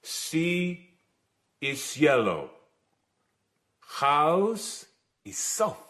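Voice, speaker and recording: An actor playing a relentless, methodical male interrogator who chants short phrases deliberately in a radio drama. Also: on the high side, sing-song, fairly bright tone, full character voice. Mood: insistent. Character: relentless, methodical foreign interrogator drilling a prisoner.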